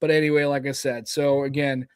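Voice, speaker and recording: A man's voice: mid-range voice, little pitch movement, fairly quick, smooth, no echo, clean studio sound. A middle-aged man co-hosting a podcast, chatting casually, joking and swearing as he rambles. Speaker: podcast co-host. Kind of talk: casual chat.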